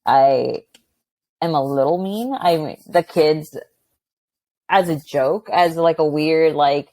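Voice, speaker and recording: A woman guest who is a high school teacher, chatting loudly and animatedly in a casual interview. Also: takes it slowly, no room sound. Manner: loud, chatty, animated, many "like" fillers.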